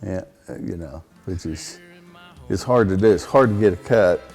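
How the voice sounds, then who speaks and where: Low-voiced man, plainspoken. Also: normal speed, darkish tone, soft background music. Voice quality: baritone voice. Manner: plainspoken, rambling, frequent fillers and restarts. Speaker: songwriter guest. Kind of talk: casual conversational interview.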